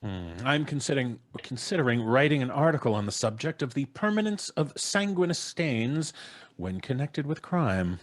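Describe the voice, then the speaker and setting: Husky, blustery father. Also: mid-range voice, big pitch swings, moderate pace. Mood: pompous, curious. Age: middle-aged. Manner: blustery, pompous, jovial. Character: blustery, unflappable American father, dismissive of ghosts. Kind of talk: old-time radio drama acting. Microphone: studio microphone on a video call.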